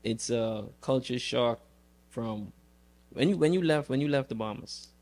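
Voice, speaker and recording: A man hosting a casual interview, halting and repeating words as he works out his questions. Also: medium pitch, a little mellow. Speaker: podcast host.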